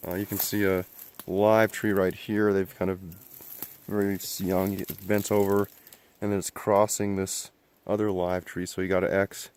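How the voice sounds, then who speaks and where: A man with a cold and a hoarse voice, narrating casually as he hikes through a remote mountain forest. He rambles, thinks aloud and clears his throat often. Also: lowish voice, darkish tone. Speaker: outdoor explorer filming a vlog. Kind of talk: casual narration while hiking.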